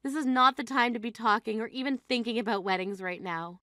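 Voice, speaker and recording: Woman acting exasperated high-voiced grieving sister. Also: fairly quick, sharp tone, no echo, clean studio sound. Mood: appalled. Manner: incredulous, reproachful. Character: exasperated grieving adult sister, indignant and scolding. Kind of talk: dramatized phone-call story dialogue.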